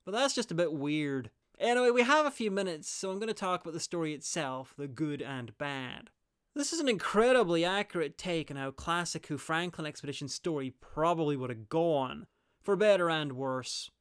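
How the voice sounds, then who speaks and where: A man's voice: a little high, animated, normal speed, fairly bright tone, smooth, no room sound. A man delivering a scripted review as a video host, with wry, dry asides.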